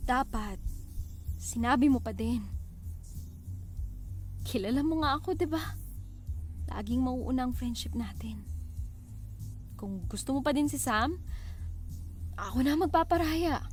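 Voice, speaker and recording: A woman's voice: sits high, animated, unhurried, long pauses, soft background music. A woman with a Filipino accent acting a young woman talking to her best friend in an amateur drama. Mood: pleading, earnest.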